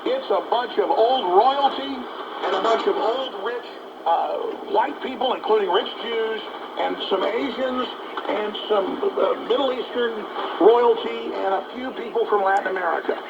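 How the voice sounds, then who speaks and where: A high-pitched male talk host with a heavy rasp in his voice. He rants indignantly and emphatically about politics. The signal is muffled and buried in loud shortwave noise. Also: unhurried. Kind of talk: political commentary rant. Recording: noisy muffled shortwave radio broadcast.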